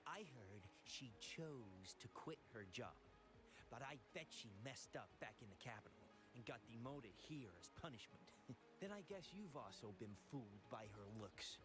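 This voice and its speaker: A male actor voices a snide townsman from a video game, forever peddling suspicious rumors. His pitch swings freely as he scorns and insinuates in theatrical fashion, with music underneath. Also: very animated, normal speed, close to an everyday voice, rough recording. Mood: snide, scornful. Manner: snide, theatrical, chuckling gossip.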